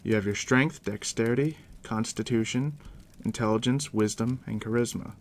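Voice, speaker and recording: A man doing a soft, deep-whispered ASMR roleplay as a game master, unhurried and reassuring, speaking directly to the listener up close. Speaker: game master guiding a new player.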